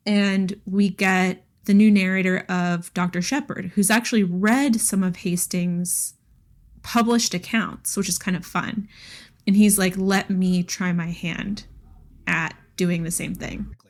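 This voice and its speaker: A woman's voice: on the low side, fairly even intonation, a little slow. A woman co-hosting a podcast, talking casually and enthusiastically about books, peppering her speech with "like". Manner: enthusiastic, frequent "like", laughs while talking. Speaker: podcast co-host. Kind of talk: casual book discussion.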